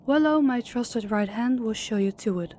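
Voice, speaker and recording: A woman's voice: a little high, normal speed, mellow, a little hiss or hum, close to an everyday voice. A woman playing a sadistic female crime boss in an audio roleplay, speaking in a formal, controlled and menacing way. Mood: cool, businesslike.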